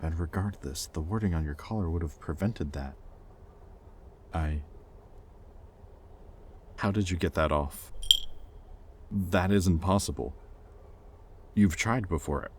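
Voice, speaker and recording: A man playing a possessive, conflicted demon in an intimate audio roleplay, with halting, sardonic delivery and secret tenderness. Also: low voice, a little mellow, slight background noise. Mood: confused, alarmed. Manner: intimate, halting, sardonic, with sighs and muttered curses. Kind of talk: dramatic audio roleplay addressed to the listener.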